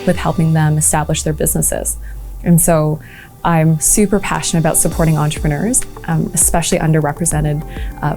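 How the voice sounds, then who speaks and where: A woman in her thirties, originally from Malaysia, talks reflectively and earnestly about supporting entrepreneurs, with frequent ums and uhs. Her voice sits a little low and sounds mellow. Soft music plays faintly beneath her. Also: vocal fry. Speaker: entrepreneurship program leader. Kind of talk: speaking to camera about her work.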